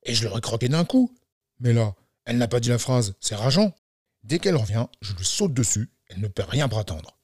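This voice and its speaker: A man's voice: animated, slightly rough, no room sound, clean studio sound. A man voicing a big, sly, boastful wolf in a children's fairy tale, with a smug, scheming drawl. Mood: frustrated, impatient. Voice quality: deep voice in the story, slow deliberate delivery.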